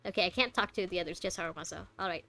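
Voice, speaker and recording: A woman's voice: lowish voice, racing, vocal fry, sharp tone. A woman giving casual, teasing commentary on a game playthrough and sometimes reading the on-screen text aloud. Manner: playful, teasing. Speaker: streamer playing a game blind. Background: game music.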